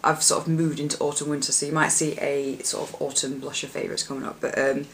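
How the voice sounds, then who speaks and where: Woman, young adult, husky, casual beauty chat, faint background rumble. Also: flat delivery, fast talker. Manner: chatty, rambling, lots of fillers and restarts. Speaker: beauty vlogger. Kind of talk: casual product chat to camera.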